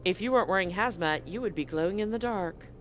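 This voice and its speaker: Man running a tabletop game, chatty and relaxed, slightly higher voice. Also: quick pace, slight background noise. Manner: relaxed, chatty, hums sound effects. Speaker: game master.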